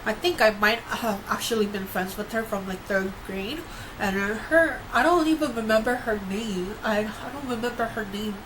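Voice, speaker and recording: A young woman who grew up in Korea and New York, chatting casually to camera in a vlog, with lots of fillers and restarts. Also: on the high side. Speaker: YouTuber. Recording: noisy home recording.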